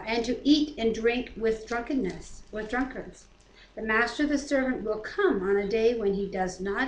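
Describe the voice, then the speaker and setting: A middle-aged woman with a North American accent, reading scripture aloud and commenting casually in a living room. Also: a little high. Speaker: co-host of a home Bible reading. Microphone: webcam at about arm's length. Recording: roomy echo, noisy home webcam audio.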